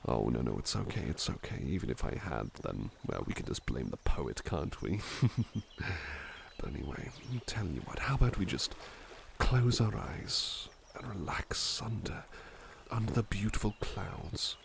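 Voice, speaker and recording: Give the voice of a devoted butler , acted by a man, in a gentle ASMR roleplay. He speaks slowly with a gravelly, creaky edge, courteous and tender. His intonation swoops freely as he softly reassures the listener. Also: low-pitched, sing-song, a little hiss or hum, full character voice. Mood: gentle, apologetic, then tender, sleepy. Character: devoted, gentle, polite male butler companion. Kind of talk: ASMR roleplay, soft personal attention.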